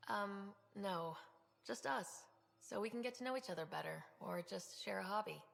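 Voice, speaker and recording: Wry, gravelly young space commander. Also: female voice, medium pitch, normal speed, a bit bright, in a large hall. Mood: warm, playful. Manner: casual, dry, direct. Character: confident, wry young female space expedition leader. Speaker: starship commander. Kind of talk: video game dialogue.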